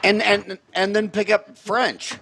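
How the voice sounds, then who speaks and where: Higher-pitched American man hosting casually. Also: level tone, takes it slowly, vocal fry, sharp tone, a little hiss or hum. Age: middle-aged. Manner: folksy, rambling, stammering starts to questions. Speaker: podcast host, dentist. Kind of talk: casual interview chat.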